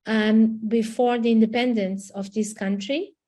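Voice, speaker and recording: A woman's voice: fairly high pitch, fairly even intonation, a little slow, dark tone. A Portuguese woman lawyer with a Portuguese accent, answering webinar questions hesitantly with many restarts over a video call. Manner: hesitant, frequent restarts and repetitions. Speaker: immigration lawyer.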